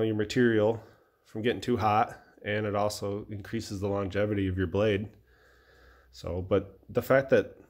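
American guy, kinda low voice, casual blunt tool review, unscripted, trips over his words a bit. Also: darkish tone. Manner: informal, blunt, halting with self-corrections. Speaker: welder-fabricator reviewing a tool. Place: indoor metalworking garage workshop.

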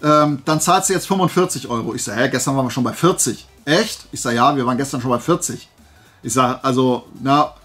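Husky man chatting casually, voice tired. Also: medium pitch, fairly quick, fairly bright tone, soft background music. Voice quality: husky, dry-throated. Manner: colloquial storyteller, acting out dialogue. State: voice tired from days of talking. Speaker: host of a flea market haul video. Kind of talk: casual anecdotal vlog chat.

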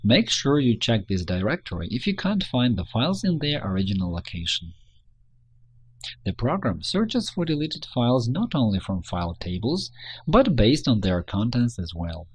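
A man reading a scripted instructional narration in a measured, step-by-step manner. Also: mid-range voice, sing-song, slightly rough. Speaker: tutorial narrator.

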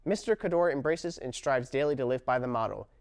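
A man's voice: mid-range voice, brisk, smooth. A young adult man, a student presenter, reading a script to camera in an indoor studio with an upbeat delivery. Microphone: lapel mic.

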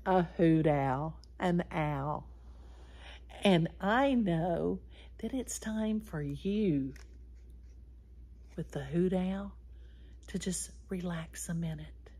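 Woman in her sixties, children's story time host, very slow pace, swooping pitch, animated read-aloud delivery, speaking straight to the kids. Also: breathy edge. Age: 60s. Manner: animated, addressing children directly, drawn-out words and animal sounds. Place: small wood-paneled room indoors.

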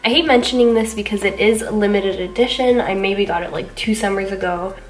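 A woman's voice: mid-range voice, a little fast, far from the mic, poor audio. A young woman sounding congested, chatting casually through a makeup tutorial with lots of ums and likes, in a small bedroom. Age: young adult. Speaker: beauty vlogger.

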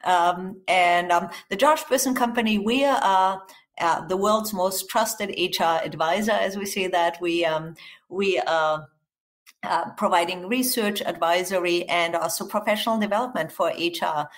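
A middle-aged woman with a blended American and Austrian accent and a fairly low voice. She talks with eager enthusiasm as a podcast guest, stumbling through fillers and restarts. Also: measured pace. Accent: mix of American and Austrian. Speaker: guest, HR research executive. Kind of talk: podcast interview.

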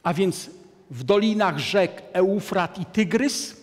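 A man in his sixties giving a deliberate, emphatic lecture to an audience from a lectern in a large hall. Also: high-pitched. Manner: deliberate, emphatic, gestures at a map. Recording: long hall reverb.

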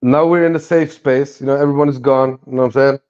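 A man's voice: medium pitch, steady tone, fast talker, darkish tone, smooth, lo-fi sound. A man on a video call chatting casually about football, laid-back and often tagging "you know what I'm saying". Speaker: podcast panelist.

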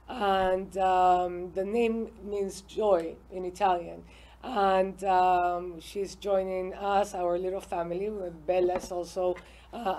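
Middle-aged woman from peru, mellow low-key voice, relaxed chatty interview guest, lots of ums. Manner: warm, relaxed, fills pauses with um and uh. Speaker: interview guest, hotel owner. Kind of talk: casual interview chat. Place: small TV studio set with bookshelves.

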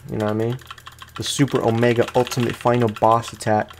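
A man's voice: medium pitch, flat delivery, a little fast, a little hiss or hum. A man giving casual, rambling, slangy commentary as he plays a video game for his viewers. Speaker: gaming streamer. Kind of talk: casual let's play commentary.

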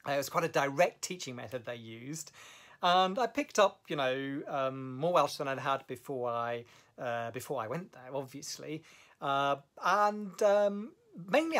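Brief: middle-aged man, fairly high voice, thoughtful storyteller, pauses with fillers, home study to camera. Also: expressive, fairly bright tone. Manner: thoughtful, measured, with frequent fillers and self-corrections. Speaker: language learning vlogger. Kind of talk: reflective personal storytelling to camera. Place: home study with bookshelves, indoors. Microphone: camera at desk distance.

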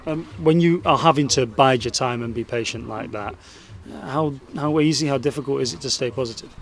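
Guy interviewing a player after the game, medium pitch, asking questions. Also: a little fast. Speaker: club reporter, interviewer. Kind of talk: post-match sports interview questions.

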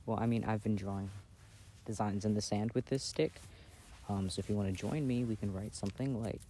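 A man playing an affectionate, playful boyfriend in a casual, intimate ASMR roleplay, speaking softly and hesitantly, recorded outdoors. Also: fairly low pitch, steady tone, brisk, natural voice. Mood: playful, teasing. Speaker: amateur audio roleplay performer. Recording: outdoor ambient noise.

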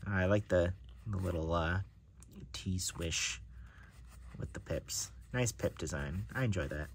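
Low-voiced man musing over cards. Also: measured pace, gravelly, a little hiss or hum. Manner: relaxed, musing, talks to himself while handling cards. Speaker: card collector reviewing decks. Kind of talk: casual unboxing narration. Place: indoors at a table.

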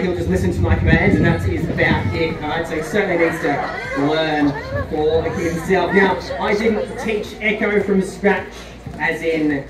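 A young man giving a chatty live demonstration talk to a crowd at an outdoor farm paddock, often asking "all right?". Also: high-pitched. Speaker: farm dog handler. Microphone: distant handheld camera. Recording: distant, echoey, with loud music and crowd.

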